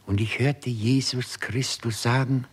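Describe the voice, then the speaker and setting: A doctor consumed by his strange experiments in a 1960s German radio crime drama. His voice is husky and mid-pitched. He speaks slowly and deliberately, with the gravity of someone telling a solemn story. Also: fairly even intonation. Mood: grave, haunted. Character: brooding, obsessive male doctor experimenting on raising the dead.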